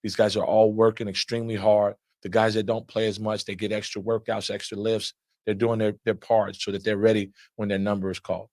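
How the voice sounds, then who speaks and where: A man's voice: on the low side, fairly quick, dry recording. Earnest man coach with frequent restarts. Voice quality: loud voice. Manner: repeats words often while building answers, steady and earnest. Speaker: assistant basketball coach. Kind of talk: press conference answers.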